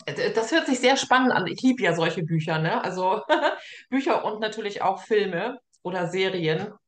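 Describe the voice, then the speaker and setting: Female host, mid pitch, informal and encouraging interview chat, echoey room sound. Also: lively intonation, normal speed. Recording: roomy, echoing sound.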